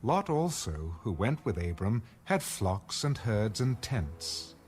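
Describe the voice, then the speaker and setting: A man's voice: fairly low pitch, big pitch swings, slow, a little mellow. A man narrating scripture as a scripted, dramatized reading. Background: music.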